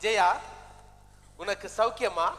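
An actor playing the scolding ghost of a grand film-star leader in a stage comedy, theatrical and declamatory. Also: male voice, sits high, slow pace, a bit bright. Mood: solemn. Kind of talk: stage comedy skit acting. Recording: echoey stage hall with music.

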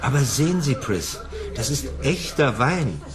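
A man's voice: medium pitch, big pitch swings, a little slow, crowd noise. A man playing a kindly, socially awkward simpleton in a German radio drama, hesitant and earnest. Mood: eager, awkward.

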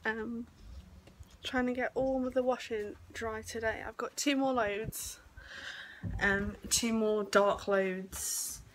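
Woman, young, congested and sore-throated, slow rambling vlog chat, phone at arm's length, noisy home recording. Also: on the high side, steady tone. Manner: chatty, rambling, lots of ums. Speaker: vlogger, mother. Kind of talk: casual home vlog to camera. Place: small house, sofa, kitchen and garden. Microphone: phone camera at arm's length.

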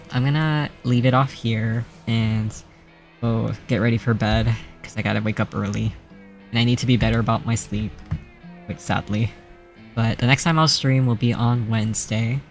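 A man streaming a video game, signing off with soft-spoken casual chat, laughing often and talking in a flat, even way. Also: medium pitch. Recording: faint game music behind.

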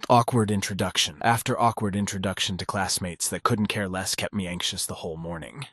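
A man playing a cynical, anxious high school boy, narrating a visual novel in a deadpan, grumbling way. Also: a little fast, breathy edge, no room sound, studio quality. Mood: anxious, sardonic. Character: cynical, anxious, foul-mouthed male high school student. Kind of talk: first-person visual novel narration and dialogue.

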